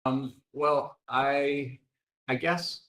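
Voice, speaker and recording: A man's voice: mid-range voice, fairly even intonation, very slow, fairly bright tone. A man answering interview questions hesitantly, with many ums as he explains. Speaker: game developer being interviewed.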